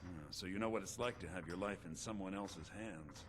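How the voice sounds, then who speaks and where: An actor voicing an embittered, calculating rebel leader in prison in a video game, philosophical and quietly menacing. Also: male voice, deep voice, moderate pace, background noise, close to an everyday voice. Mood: brooding, probing.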